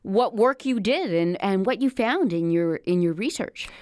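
Woman interviewer, mid-pitch, measured and conversational, dry close sound. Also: expressive, darkish tone, dry recording. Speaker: interview host. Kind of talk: interview questions.

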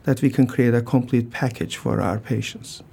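An older man with a Turkish accent, a transplant surgeon answering interview questions in a halting way, with many fillers, restarts and throat clearing. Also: medium pitch, mellow. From Turkey.

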